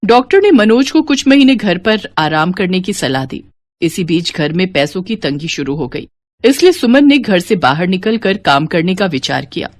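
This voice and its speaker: A woman's voice: fairly low pitch, big pitch swings, normal speed, no room sound. A woman reading a scripted devotional moral story as its narrator.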